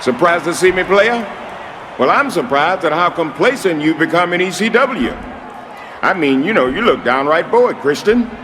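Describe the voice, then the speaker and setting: Man as showy, high-voiced wrestling boss. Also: expressive, normal speed, lightly acted. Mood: needling. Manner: swaggering, proclamatory. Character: showy, needling male wrestling show boss. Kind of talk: scripted wrestling game cutscene acting. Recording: loud crowd and arena noise.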